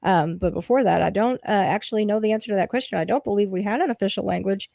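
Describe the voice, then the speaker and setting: An American woman calmly explaining things in a matter-of-fact, step-by-step way in a casual chat. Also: mid-range voice, quick pace, gravelly, no room sound, studio quality. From United States.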